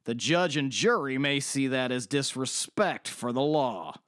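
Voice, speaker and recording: A man's voice: mid-range voice, expressive, a little slow, a bit bright, no echo, studio quality. A man playing a formal, polite, slightly hesitant middle-aged defense lawyer in an audio drama. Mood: formal, earnest.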